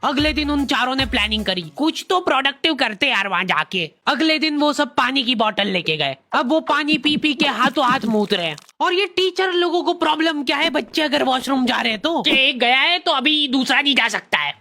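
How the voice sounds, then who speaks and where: A high-pitched woman narrates a scripted cartoon comedy in casual slang. Her delivery is bright, exaggerated and full of mock exasperation. Light music runs underneath. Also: lively intonation, moderate pace, hardly any pauses. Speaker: storyteller. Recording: music behind the voice. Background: faint music.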